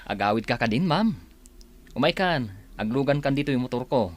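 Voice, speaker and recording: Actor as animated, confident Ilocano handyman. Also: mid-range voice, moderate pace, no echo, lightly acted. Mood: coaxing. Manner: confident, polite. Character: Ronnie, confident, respectful yet forward young handyman. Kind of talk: Filipino radio drama acting.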